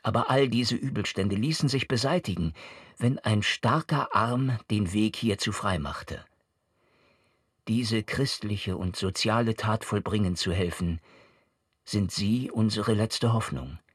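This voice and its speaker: Low, husky man reading formally. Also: a little slow, no room sound, clean studio sound. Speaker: reader of an official letter.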